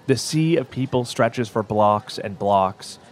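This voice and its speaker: A man narrating a documentary podcast as its host, in a calm, descriptive storytelling manner. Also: medium pitch, slow pace.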